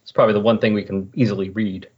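Male podcast host, husky voice, casual explanatory recapping, dull lo-fi sound. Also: fairly low pitch, little pitch movement, racing. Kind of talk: casual comic book discussion. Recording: dull lo-fi voice recording.

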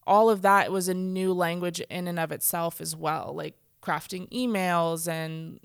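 Woman guest, casual and chatty, lots of fillers, smooth voice. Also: on the low side, steady tone, measured pace, no room sound, clean studio sound. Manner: chatty, many "like" fillers, acts out quoted speech. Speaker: guest speaker. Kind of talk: casual conversation. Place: church stage.

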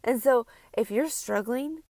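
Chatty woman podcast host, kinda high voice w a creaky edge, stuffed up nose, talks right to you. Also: a little slow, no room sound. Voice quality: stuffy, congested nasal sound. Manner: animated, emphatic, repeats phrases for effect; informal, conversational, addresses listeners directly. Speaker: podcast host and health coach for moms. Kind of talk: chatty solo podcast episode.

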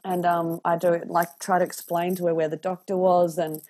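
An Australian woman in her thirties chatting casually and candidly, telling animated stories and swearing freely. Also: fairly low pitch, moderate pace. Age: 30s. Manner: animated, candid storyteller who swears freely and acts out voices. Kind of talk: casual podcast chat.

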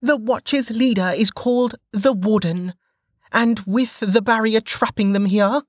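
A woman's voice: a little high, a little slow, dry recording, studio quality, pitched above the performer's own voice. A narrator voicing a brash, cocky young woman fighter who talks in a bossy, taunting way. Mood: teasing.

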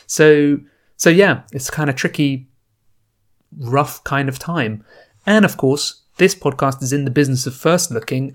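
A man talks to his listeners in a relaxed, chatty way, thinking aloud as he goes and trailing off now and then. He records alone at home on a podcast microphone. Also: medium pitch. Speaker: podcast host. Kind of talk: casual solo podcast intro. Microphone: new home podcast microphone setup.

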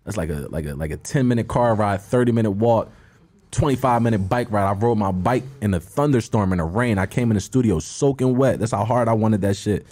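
Male rapper, soft low voice, laid-back slang. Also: brisk. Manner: slangy, repeats "man" and "bro". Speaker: guest rapper. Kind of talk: casual chat.

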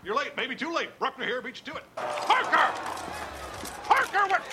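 An actor playing a shouting, impatient middle-aged newspaper boss in a film clip, barking orders at an employee. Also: male voice, very high voice, big pitch swings, normal speed, faint music behind, heavily acted. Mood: impatient yelling.

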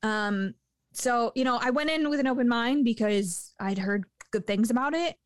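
A woman in a casual movie review chat, talking with lots of fillers and quick laughs. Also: a little high. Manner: chatty, laughs often, many fillers.

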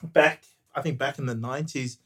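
Relaxed hesitant man, podcast guest. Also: mid-range voice, normal speed. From New Zealand. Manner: relaxed, hesitant, many ums, laughs easily. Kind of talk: casual podcast chat.

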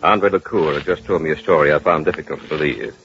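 A man's voice: extremely low pitch, fast talker, fairly bright tone. An actor playing a skeptical, uneasy man who narrates a horror tale in old radio style. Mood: tense narration. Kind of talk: 1940s-50s radio horror acting. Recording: muffled, noisy old radio broadcast.

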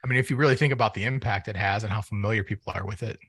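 A man's voice: fairly low pitch, racing, fairly bright tone, slightly rough, no echo, clean studio sound. A man on a music podcast panel, chatting casually. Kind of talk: casual chat.

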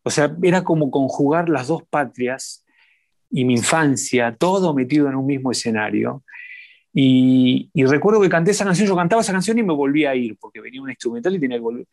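A man with an Argentine accent speaks as an interview guest. His delivery is warm and expressive, at an ordinary middle pitch. He leans on repetitions to build emotion. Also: brisk. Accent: Argentine Spanish. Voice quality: warm, expressive, lingering on repeated words. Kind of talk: reflective conversational storytelling.

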